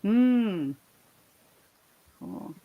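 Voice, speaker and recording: A woman's voice: mid-range voice, sing-song, very slow, narrow, tinny audio. A woman co-hosting a live book reading, chatting casually in an encouraging, giggly way. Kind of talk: casual group chat.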